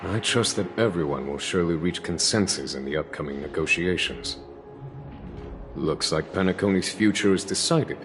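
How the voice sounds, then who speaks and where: A man's voice: sits low, normal speed, music in the background. A man playing a composed, diplomatic official in a video game, speaking formally and politely. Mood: calm, assured. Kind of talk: scripted game dialogue.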